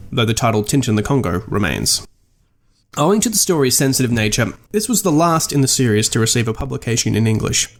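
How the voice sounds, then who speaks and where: A man presents a scripted essay in a mid-range voice. His intonation moves up and down a great deal, while his delivery stays wry and understated. The phrasing is formal and articulate, like a prepared podcast narration. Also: mid-range voice.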